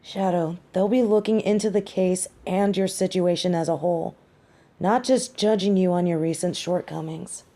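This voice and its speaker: A woman's voice: fairly low pitch, fairly even intonation, normal speed, warm tone, natural voice. A woman acting a caring, brash and loyal young superheroine in a scripted audio roleplay, with a conversational, sassy delivery. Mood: irritated, sassy. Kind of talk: scripted one-sided audio roleplay drama.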